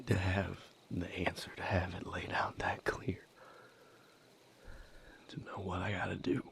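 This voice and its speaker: Casting: male actor as tormented lover for romance audio drama, deep and hushed, very slow, halting. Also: level tone, heavily acted. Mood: anguished, weary. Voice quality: breathy, gravelly whisper. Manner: halting, hushed, fraught delivery with long pauses. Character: anguished male lover comforting and seeking comfort, vampire romance. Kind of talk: intimate audio roleplay, dramatic acting.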